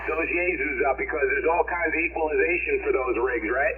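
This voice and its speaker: A man's voice: a little high, brisk, narrow, tinny audio. A man chatting casually about technical matters as an amateur operator, heard through a radio. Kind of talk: casual technical chat over ham radio. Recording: thin, noisy, distant shortwave radio transmission.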